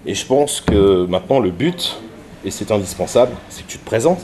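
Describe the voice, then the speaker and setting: A man hosting a casual interview, teasing his guest and dropping deadpan jokes. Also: lowish voice, moderate pace. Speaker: host of a web interview show.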